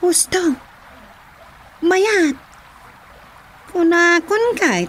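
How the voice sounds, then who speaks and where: A woman's voice: very high-pitched, expressive, extremely slow, a little hiss or hum, full character voice. A woman with an Ilocano accent playing a touchy, dutiful, fretting village wife in a radio comedy drama. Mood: hurt, arguing.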